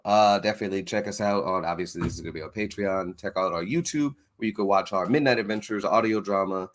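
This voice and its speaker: A man's voice: fairly low pitch, fast talker. A man hosting a casual interview, talking in an informal way and often saying y'all. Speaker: interviewer and show host.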